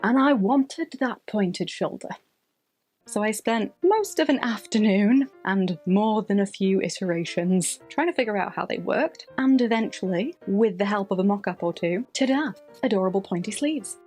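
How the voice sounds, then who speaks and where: A woman delivers a scripted vlog narration with expressive, theatrical emphasis. Her voice sits at a middling pitch for a woman. Music plays faintly behind her as she talks through a craft project. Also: moderate pace, slightly rough. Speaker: sewing vlog host. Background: background music.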